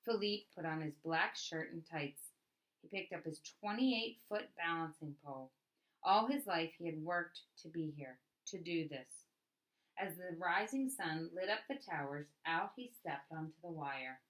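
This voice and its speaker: A woman reading a picture book aloud as a storyteller, with expressive narration and dramatic emphasis on key words. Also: sits low, measured pace, poor audio.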